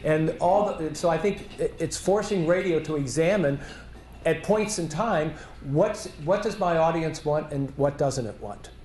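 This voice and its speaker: A man's voice: on the high side, darkish tone, a little hiss or hum. Roomy-sounding man answering at a conference. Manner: measured, thinking aloud with restarts. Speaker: conference presenter. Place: conference hall.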